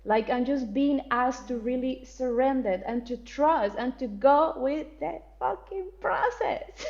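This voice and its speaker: A woman's voice: high voice, animated, slow pace, darkish tone, dull audio. A woman from Colombia, talking candidly and expressively as an interview guest, laughing easily and swearing casually. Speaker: guest, spiritual teacher.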